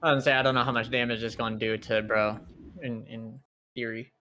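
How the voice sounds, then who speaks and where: Bright-voiced man chatting as a game player. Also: mid-range voice, level tone, fast talker, a little hiss or hum. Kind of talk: casual game chat.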